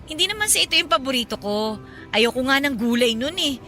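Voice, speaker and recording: A practical, guarded young working woman in a radio drama. Her voice is high and bright, and she talks in a matter-of-fact, conversational way over background music. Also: expressive, fairly quick. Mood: fond, light. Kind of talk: Tagalog radio drama acting. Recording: music behind the voice.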